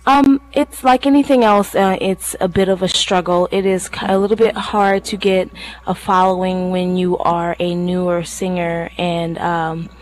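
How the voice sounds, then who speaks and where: A woman's voice: lowish voice, fairly even intonation, a little slow, low-quality recording. A woman from Thailand, a singer, chatting casually as an interview guest.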